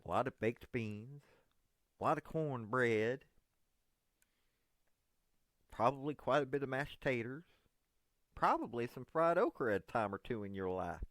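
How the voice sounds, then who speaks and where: A middle-pitched man in his fifties with an East Tennessee drawl, speaking in a folksy, rambling but earnest way. His voice sits close to the mic with a dry, dead sound and no room echo. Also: animated, a little slow, long pauses, a bit bright. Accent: Southern US, East Tennessee. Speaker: political commentator. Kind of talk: direct-address monologue to a politician.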